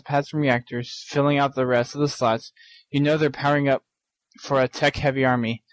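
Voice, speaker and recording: Man haltingly reading a listener email. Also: mid-range voice, no echo, poor audio. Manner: halting reading with frequent ums and restarts. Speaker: podcast co-host.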